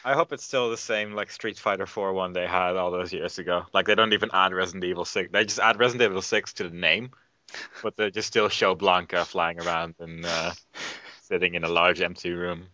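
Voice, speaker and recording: Male co-host, dry sarcasm, bright edgy tone, dull dry recording. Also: low-pitched, fairly even intonation, fairly quick, no echo. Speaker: podcast co-host. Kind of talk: casual gaming podcast chat.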